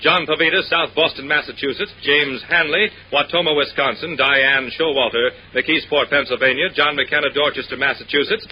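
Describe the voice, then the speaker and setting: Male 1940s radio announcer, slightly high voice, declamatory reading, dull old recording. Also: fairly even intonation, moderate pace, few pauses, slight background noise.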